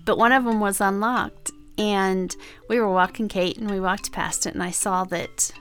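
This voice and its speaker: A woman co-hosting a podcast in casual chat, calm and earnest as she tells stories. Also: mid-range voice, normal speed, soft background music. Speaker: podcast co-host.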